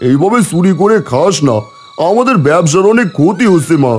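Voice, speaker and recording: A man's voice: sits high, big pitch swings, fairly quick, noisy background. A man voicing a fretful, complaining village food vendor in a cartoon. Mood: reproachful. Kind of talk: cartoon voice acting. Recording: music behind the voice.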